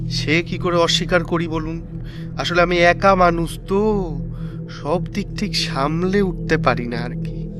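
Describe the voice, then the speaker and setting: A man's voice: a little high, measured pace, natural voice. A man playing an evasive house seller in a Bengali audio drama, reassuring and dismissive, repeating himself insistently. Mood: obliging. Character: evasive, insistent lone man selling his eerie house, Sadashib. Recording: loud background music.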